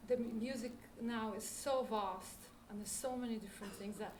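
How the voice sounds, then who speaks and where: A woman's voice: fairly high pitch, steady tone, moderate pace. A woman with an Israeli accent, a lecturer answering audience questions, reflective and searching for words. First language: Hebrew. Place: lecture hall. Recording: roomy hall, distant mic, background noise.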